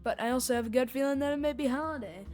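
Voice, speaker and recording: A woman's voice: sits high, racing, darkish tone. A woman hosting a casual fan review, giving recap and reaction commentary. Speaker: reviewer and superfan host. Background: music.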